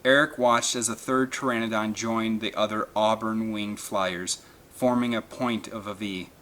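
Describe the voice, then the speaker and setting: A man's voice: on the low side, fairly even intonation, measured pace, a bit bright. A man reading an adventure novel aloud as dramatic scripted narration. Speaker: audiobook narrator.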